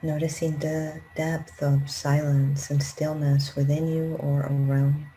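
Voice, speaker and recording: A woman leading a guided meditation in a calm, gentle, unhurried manner with long pauses. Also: deep voice, slight background noise, rough recording. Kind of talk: guided meditation, slow spoken instructions.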